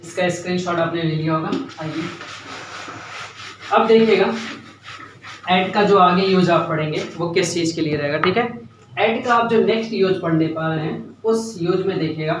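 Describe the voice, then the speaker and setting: A man's voice: sits high, normal speed, slight background noise. A young man teaching a grammar lesson, repeating key points and often checking whether students follow. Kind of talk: classroom-style grammar lesson. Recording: echoey room, mic at a distance.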